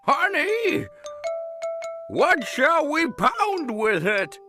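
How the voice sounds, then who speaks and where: A woman voicing a kindly, simple old man in a children's fairytale cartoon, with drawn-out, exclamatory delivery. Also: high voice, sing-song, music in the background, full character voice. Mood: delighted.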